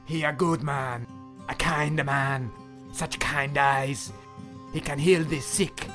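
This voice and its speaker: An actor playing a fervent, preachy captive with a Spanish accent and broken English, in a dark comedy crime drama. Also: male voice, mid-range voice, fairly even intonation, slow, soft background music. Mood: nervous, reverent. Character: terrified male captive turned fervent, preachy zealot.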